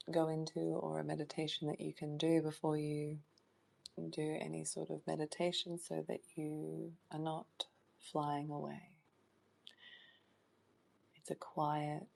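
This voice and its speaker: A woman leading a guided meditation in a soft, soothing voice that sometimes drops toward a whisper, in short phrases with long pauses. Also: deep voice, vocal fry. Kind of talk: guided meditation, hypnotic trance induction.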